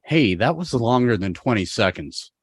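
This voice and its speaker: A man playing a casual, concerned young guy in an amateur table read, with a breathy voice. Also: mid-range voice, big pitch swings, normal speed, no room sound, studio quality.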